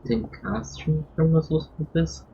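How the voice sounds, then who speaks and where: A streamer with a mid-pitched voice gives casual live game commentary. The sound is dull and lo-fi, with faint noise behind it. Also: completely flat delivery, normal speed, warm tone. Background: video game audio.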